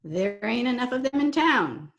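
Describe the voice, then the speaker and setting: A tough, brassy Broadway gal as a woman acts her in a radio play. Her voice is fairly high, and she throws out sardonic slang like a born wisecracker. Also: very animated, normal speed, vocal fry, lo-fi sound. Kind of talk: 1940s radio drama acting.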